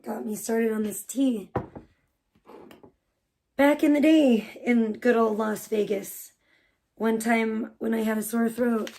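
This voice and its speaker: A woman live streamer, hoarse and losing her voice, chatting bubbly and rambling with her viewers while making tea in her kitchen. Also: a little high, warm tone, a little hiss or hum. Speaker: live streamer greeting chat. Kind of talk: casual live stream chat.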